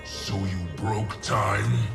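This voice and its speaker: An actor playing a brutal, wrathful warlord emperor in a video game, gravelly, imperious and menacing. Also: male voice, fairly low pitch, steady tone, drawn-out words, full character voice. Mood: wary, demanding. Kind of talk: video game cutscene acting. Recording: distant, echoing, music behind.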